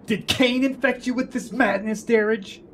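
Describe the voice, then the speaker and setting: An actor playing a proud, stubborn warrior in a fantasy radio drama, speaking in a brusque, challenging way. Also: male voice, extremely high pitch, steady tone, normal speed, a bit bright, a little hiss or hum. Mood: scornful, incredulous.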